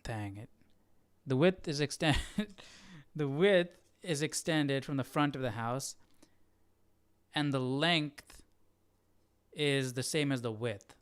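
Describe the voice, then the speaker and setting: A man's voice: mid-range voice, slow, clean tone, dry recording. A young man hosting a casual study livestream, musing aloud as if to himself. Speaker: livestream host. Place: small indoor room.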